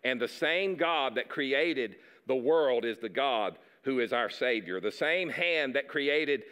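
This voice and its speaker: Man, middle-aged, pastor, chatty sermon, average pitch, long hall reverb. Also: animated, slow, a bit bright, smooth. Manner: conversational preaching with repeated words and asides. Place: large dark church stage.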